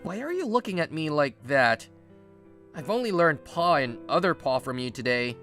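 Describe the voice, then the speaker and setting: An exasperated, guarded young man at the office acted by a woman in a manga video dub. The voice sits a little low for a woman and swings widely in pitch as he defends himself. Music plays underneath. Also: big pitch swings, normal speed, fairly bright tone, heavily acted. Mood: defensive, exasperated. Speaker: narrator and lead of a manga dub. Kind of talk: dramatized first-person story narration and dialogue.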